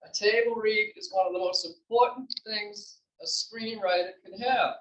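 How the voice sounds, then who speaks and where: A woman's voice: medium pitch, moderate pace, muffled recording, a little hiss or hum. A woman playwright giving an informal introductory speech about her work. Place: library room before an audience. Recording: echoey room, distant mic.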